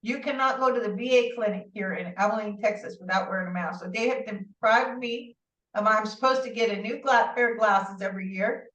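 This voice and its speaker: A woman guest telling her story in a rambling, animated way, with many asides and restarts, heard through a remote call. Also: mid-range voice, brisk. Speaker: interview guest. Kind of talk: casual conversational storytelling. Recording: distant, roomy, dull-sounding audio.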